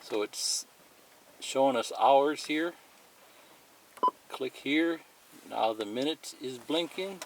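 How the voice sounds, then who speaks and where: A man's voice: medium pitch, slow pace, pauses often, a little hiss or hum, low-quality recording. A man giving a plain, methodical, unscripted step-by-step demonstration of a product as a tutorial presenter.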